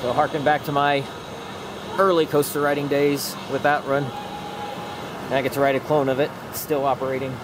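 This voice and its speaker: A man's voice: medium pitch, little pitch movement, moderate pace, long pauses, background noise. A man narrating a casual, off-the-cuff vlog outdoors on a handheld camera, in short matter-of-fact remarks. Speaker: theme park vlogger. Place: outdoors in an amusement park. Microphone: handheld camera mic.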